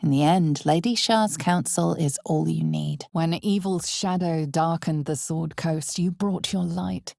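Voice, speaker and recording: A woman playing an ominous, all-knowing narrator of a dark fantasy video game, speaking in a measured, dramatic way with hushed intensity. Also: deep voice, vocal fry, no echo, studio quality. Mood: solemn, measured. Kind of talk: scripted second-person fantasy game narration.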